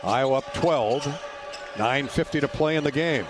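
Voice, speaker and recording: Man calling basketball over loud arena noise. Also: medium pitch, moderate pace. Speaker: radio play-by-play announcer. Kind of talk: live basketball play-by-play commentary. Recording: loud arena noise behind courtside radio call. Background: ball bounces and gym ambience.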